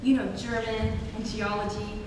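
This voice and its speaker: A woman's voice: on the high side, little pitch movement, normal speed, natural voice. A woman playing a girlish, sweetly wilful teenage ward with an artless manner in a staged reading of a period comedy. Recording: distant, echoey noisy hall.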